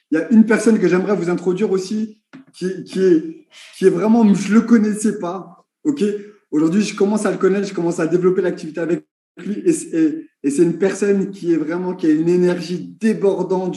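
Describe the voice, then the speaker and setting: A man hosts a video call and introduces a guest, repeating words as he searches for them. His voice is high yet mellow, and it echoes in the room. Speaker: host of the call.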